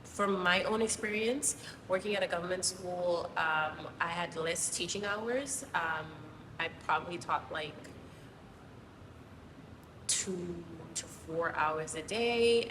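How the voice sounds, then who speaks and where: A woman from Zimbabwe, a guest teacher, explaining things casually with many pauses and ums. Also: on the low side. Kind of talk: casual interview answers. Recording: distant mic, roomy hall, noisy.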